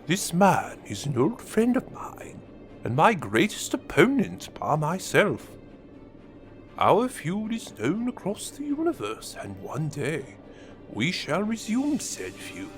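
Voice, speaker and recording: A man's voice: high-pitched, wildly swooping pitch, slow, faint music behind, heavily acted. A man playing a gleefully menacing villain, a war-hardened renegade, in a theatrical, wry and sinister audio drama monologue that savours each phrase. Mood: brooding menace.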